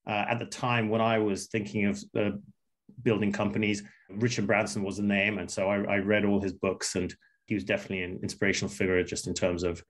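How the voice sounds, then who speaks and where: A man in his thirties, raised in England after an early childhood in Russia, answering interview questions in a thoughtful, halting way with many fillers and restarts. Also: on the low side, level tone. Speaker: entrepreneur guest.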